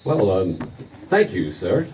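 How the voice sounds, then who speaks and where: A man's voice: sits low, huge pitch swings, slow pace, a little mellow, heavily acted. An actor in a 1940s-style radio play as an earnest, flustered young small-town businessman, stammering and repeating himself as he pleads. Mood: hesitant. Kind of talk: 1940s radio drama acting. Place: stage production. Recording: distant stage mic, faint noise.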